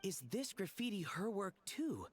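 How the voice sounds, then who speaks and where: A woman's voice: mid-range voice, big pitch swings, measured pace, darkish tone, slightly rough. A woman voicing an inquisitive, deferential and bookish young researcher in a video game. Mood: curious, eager. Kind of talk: English game voice acting.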